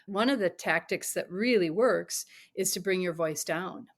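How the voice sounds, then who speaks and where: Middle-aged mom coach on a podcast, earnest advice voice, goes soft and whispery sometimes. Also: female voice, lowish voice, measured pace. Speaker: parent coach. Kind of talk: podcast interview guest.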